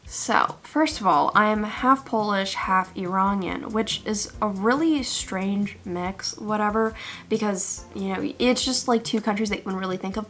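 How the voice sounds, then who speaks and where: A young American woman in her twenties talks straight to her viewers in a relaxed, playful vlog style. She tosses in sassy little asides. Her pitch sits right in the middle for a woman. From United States. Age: 20s. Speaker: YouTuber. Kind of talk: casual vlog chat.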